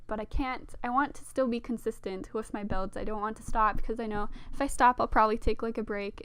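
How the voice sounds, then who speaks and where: A young woman with a sore throat, slightly hoarse, casually narrating a game build in a rambling, self-deprecating way with lots of fillers. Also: on the high side, fairly bright tone. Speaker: Sims speed-build narrator. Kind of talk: casual voice-over commentary.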